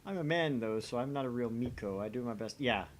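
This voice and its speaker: A man reads visual novel dialogue aloud with widely swinging, animated pitch. He co-hosts in a jokey, self-deprecating and theatrical way. There is a slight rumble of noise in the recording. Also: a little fast. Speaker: co-host of a visual novel read-along. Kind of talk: animated reading of game dialogue with casual banter.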